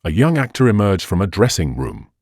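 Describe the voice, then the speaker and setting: Low-pitched male narrator, highly varied intonation. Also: big pitch swings, fairly quick, a little mellow, no room sound, studio quality. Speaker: audiobook narrator. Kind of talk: scripted narration of a detective mystery.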